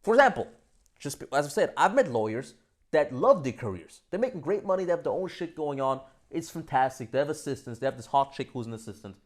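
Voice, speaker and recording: A young man from Austria talking straight to camera in a casual, animated monologue, swearing a lot and swinging between loud bursts and soft asides. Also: fairly high pitch, fast. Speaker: vlogger.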